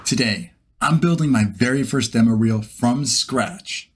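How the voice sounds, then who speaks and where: A man's voice: medium pitch, expressive, measured pace, a little hiss or hum. A man hosting a vlog in a casual, conversational style. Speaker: video host, beginner voice actor.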